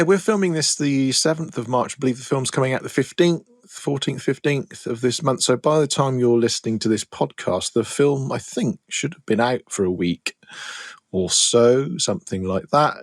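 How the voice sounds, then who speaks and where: A man's voice: mid-range voice, normal speed, dry recording, clean studio sound. A middle-aged man hosting a podcast in casual chat, rambling with many restarts. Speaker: podcast host.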